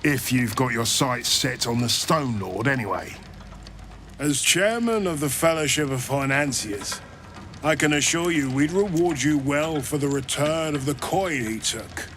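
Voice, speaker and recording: A glib, money-loving banker in a fantasy video game. He talks slowly at a middling pitch, wry and evasive, with sarcasm under the polite patter. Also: expressive, fairly bright tone, slight background noise. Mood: persuasive. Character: self-serving male banker, wry, glib and greedy.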